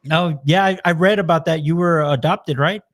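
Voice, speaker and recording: A man's voice: fairly high pitch, normal speed. A man raised in Texas, hosting a podcast and asking loose, rambling questions full of "you know.". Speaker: podcast host. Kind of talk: casual podcast interview.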